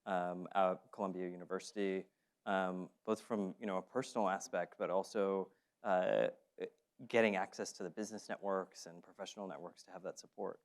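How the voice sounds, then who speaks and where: A man moderating a panel, asking measured, polite questions. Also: sits low, steady tone.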